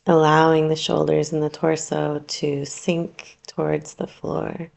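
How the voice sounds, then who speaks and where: Very slow, creaky low-voiced woman guiding relaxation. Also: completely flat delivery. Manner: soothing, unhurried, gently instructing with long pauses. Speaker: yoga nidra teacher. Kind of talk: guided relaxation meditation.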